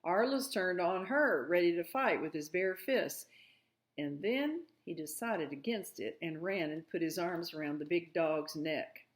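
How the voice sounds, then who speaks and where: A woman's voice: on the low side, animated, a little slow. Woman reading a story aloud expressively. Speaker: read-aloud narrator.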